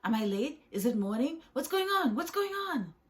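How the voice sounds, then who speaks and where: A woman voicing an excitable, easily panicked male sea sponge in a children's story, exclaiming frantically and repeating himself. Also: mid-range voice, slow. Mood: startled, confused.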